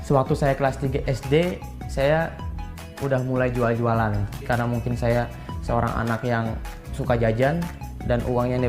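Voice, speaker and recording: A twenty-year-old man, explaining in an informal interview style and often tagging phrases with a casual filler. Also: medium pitch. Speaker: young entrepreneur being interviewed. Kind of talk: interview talk, explaining his business. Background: guitar music.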